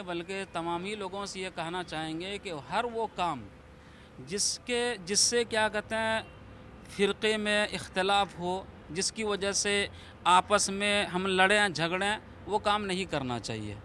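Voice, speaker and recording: A man with a notably high voice answers an interviewer as an imam. His wording is formal, balanced and diplomatic. Faint outdoor city and vehicle noise runs underneath. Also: a little slow, sharp tone.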